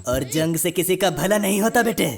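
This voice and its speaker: A woman's voice: fairly low pitch, animated, moderate pace, creaky. A woman voicing a cheeky, chatty cartoon sidekick who teases and mocks in sing-song rhymes. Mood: teasing. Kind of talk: comic cartoon dubbing in Hindi with rhymes. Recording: music bed under the voice.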